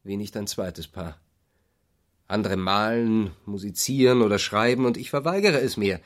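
Radio play role: man playing an earnest, pontificating husband with artistic pretensions, lowish register. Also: normal speed, lightly acted. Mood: earnest self-justification. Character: brooding, self-justifying husband, a blocked would-be artist. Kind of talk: radio drama dialogue.

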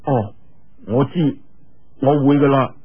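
A man's voice: mid-range voice, takes it slowly, breathy edge, phone-quality sound. A man voicing an earnest, obedient and cautious young man in an audiobook, polite and hesitant. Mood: compliant.

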